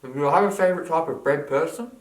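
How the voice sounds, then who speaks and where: A man's voice: on the high side, fast talker. A man in his early twenties with an Australian accent, chatting casually and playfully as he answers viewer questions. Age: early 20s. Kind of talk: casual Q&A video chat.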